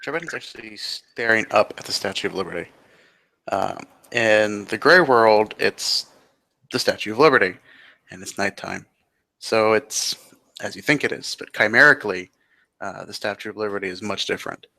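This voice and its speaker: Gravelly, bright-edged man narrating a roleplay. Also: lowish voice. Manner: halting, descriptive. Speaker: roleplayer narrating his character and scene. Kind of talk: improvised game narration.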